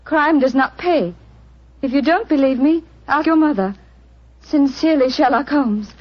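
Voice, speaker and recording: Casting: young lady schemer, earnest and polite, high voice, muffled vintage radio sound. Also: unhurried. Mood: eager, then rueful. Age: young adult. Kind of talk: 1940s radio drama acting. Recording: dull, muffled 1940s radio recording.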